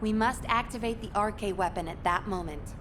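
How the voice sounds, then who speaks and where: An actress playing a composed, earnest operations guide in a video game, giving a formal briefing. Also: female voice, medium pitch, normal speed, background noise, natural voice. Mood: earnest, determined. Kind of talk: video game cutscene acting.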